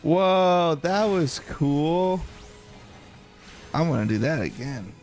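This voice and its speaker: A man with a creaky voice doing a calm, easygoing let's play, chatting casually and reading game text aloud. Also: fairly high pitch, slow pace. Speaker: video game streamer, let's player. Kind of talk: calm, slow-paced gameplay chat with read-aloud game text. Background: video game music.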